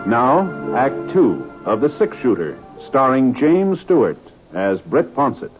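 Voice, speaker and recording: Animated American radio announcer over music. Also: male voice, medium pitch, a little slow. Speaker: program announcer. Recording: tinny 1950s radio recording.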